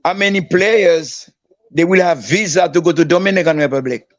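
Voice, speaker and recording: Guy w a high voice, choppy broken english, dry sound. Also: dry recording, highs cut off. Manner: halting broken English, repeats words. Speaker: guest. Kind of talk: casual podcast chat.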